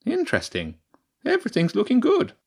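A man's voice: high-pitched, huge pitch swings, brisk, creaky, darkish tone, husky, pitched above the performer's own voice, exaggerated voice. A man voicing an impatient, sardonic female badger scientist in a storybook reading, dry and mocking. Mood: curious, sly. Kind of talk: audiobook character voice.

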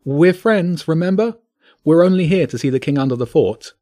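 Meek schoolboy for a storybook, acted by a man: nervous, earnest, pitched above an adult male. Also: lively intonation, quick pace, a little mellow, lightly acted. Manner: shaky, earnest. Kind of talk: audiobook character voice.

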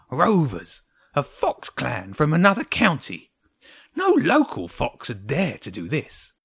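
A man voicing a grumpy, defensive little old hedgehog guard in a children's audiobook, indignant and mocking in a high, creaky voice. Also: no room sound, studio quality, heavily acted. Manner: indignant, spitting, mocking, exclamatory. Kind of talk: children's audiobook character acting.

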